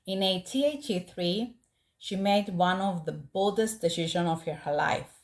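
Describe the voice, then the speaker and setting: Slow, low-pitched Greek-accented woman narrator. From Greece. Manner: earnest, measured storytelling with personal asides. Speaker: podcast host. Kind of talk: scripted storytelling podcast narration.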